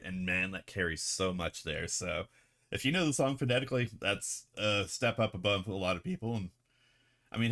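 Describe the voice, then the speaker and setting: Low-voiced man chatting on a stream. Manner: relaxed, rambling, laughs often, breaks into song. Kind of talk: casual live-stream chat while painting models.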